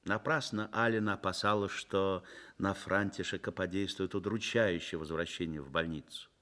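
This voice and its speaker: A man narrating a Soviet radio drama in a measured, dramatic storytelling manner. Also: low voice, fairly even intonation, bright, forceful tone.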